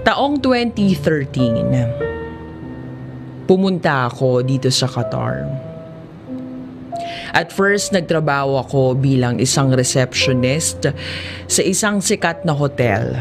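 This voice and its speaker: A woman with a Filipino accent narrates a first-person life story in a measured, confessional audiobook drama style. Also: rumbling low, expressive, gravelly, music in the background. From Philippines.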